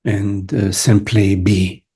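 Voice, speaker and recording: A man leading a guided meditation as a teacher, speaking gently in short phrases with long pauses. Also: fairly low pitch, flat delivery, husky. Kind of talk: guided meditation, spiritual talk.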